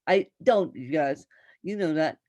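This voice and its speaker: A woman hosts an interview over a video call, her voice low for a woman and her pace very slow. She chats loosely and turns to address viewers as "you guys." The sound is dry and close. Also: lively intonation, darkish tone, dry recording, highs cut off. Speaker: talk show host. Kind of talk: conversational interview.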